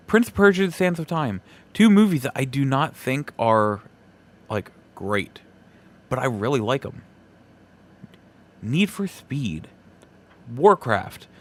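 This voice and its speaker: A man hosting a solo podcast, giving casual opinion commentary in a halting, emphatic way, repeating phrases for effect. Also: medium pitch, a bit bright. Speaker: podcast host.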